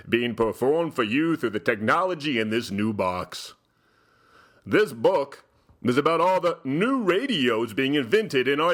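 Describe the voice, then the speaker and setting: A man playing a pompous theatrical gentleman host, giving a mock-solemn dramatic reading with drawn-out pauses. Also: medium pitch.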